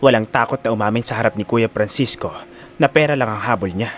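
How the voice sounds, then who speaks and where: A man's voice: fairly low pitch, quick pace, a bit bright, soft background music, voice pushed down, close to an everyday voice. A man playing a distrustful middle-aged husband in an audio drama, grave in manner, with a Filipino accent. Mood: suspicious.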